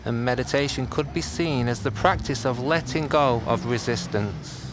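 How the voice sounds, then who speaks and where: A man teaching a guided meditation, speaking gently in short phrases with long pauses. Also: medium pitch. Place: outdoors. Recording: outdoor class with clear background noise.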